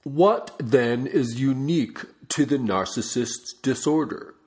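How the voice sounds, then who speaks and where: A man reading a non-fiction book aloud as a narrator, with measured, deliberate phrasing. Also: medium pitch, a little mellow. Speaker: audiobook reader.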